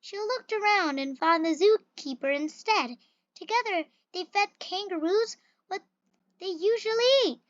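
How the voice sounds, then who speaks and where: A reader with an expressive, carefully phrased delivery, reading a children's picture book aloud. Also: extremely high pitch, unhurried, no echo, dull audio. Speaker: story reader.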